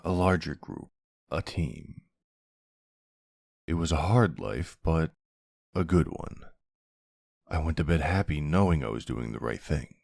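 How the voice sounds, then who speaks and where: A man playing a brooding, centuries-old vampire hunter of his own kind in an ASMR roleplay, speaking in a gravelly, deliberate, halting way. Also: rumbling low, darkish tone, dry recording, studio quality, exaggerated voice. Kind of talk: ASMR audio roleplay, scripted dramatic monologue.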